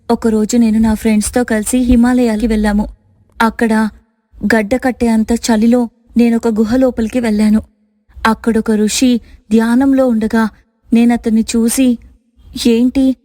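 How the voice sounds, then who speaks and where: A woman voices a reserved young wife who guards a secret in a fairy tale. She explains earnestly in a mellow, fairly even voice, recorded dry. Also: fairly high pitch, moderate pace, dry recording, natural voice. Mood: confessing.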